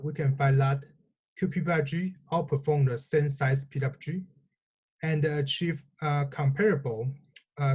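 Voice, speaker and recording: Male research presenter, scripted and halting. Mellow, husky tone. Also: mid-range voice.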